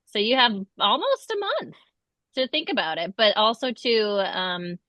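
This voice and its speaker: Female webinar host, bright clear-edged tone, casual halting delivery, dry close sound. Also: fairly high pitch, big pitch swings, no room sound. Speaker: trip host. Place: online video call.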